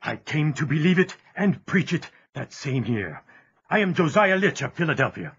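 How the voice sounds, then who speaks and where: Urgent husky preacher, bright pitch, radio-play feel. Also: male voice, sing-song, moderate pace, heavily acted. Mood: persuasive. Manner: urgent, persuasive. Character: zealous male traveling preacher. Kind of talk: radio drama acting. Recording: dull lo-fi recording.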